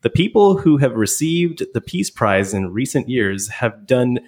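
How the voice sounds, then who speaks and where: A man's voice: medium pitch, very animated, measured pace, darkish tone. A man co-hosting a casual podcast, reading news items aloud and laughing often. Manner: laughs often, stumbles on names. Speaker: podcast co-host.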